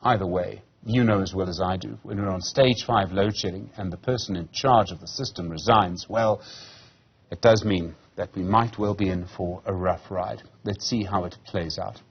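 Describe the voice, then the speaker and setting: A man in his fifties with a slightly husky voice, presenting and interviewing on live television news. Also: deep voice, fairly even intonation, moderate pace, muffled recording. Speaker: news anchor.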